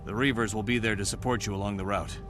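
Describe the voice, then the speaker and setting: A man playing a steady, dutiful military tactician in a video game, speaking crisply. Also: lowish voice, fast talker, close to an everyday voice. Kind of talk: video game cutscene acting. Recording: loud game music behind.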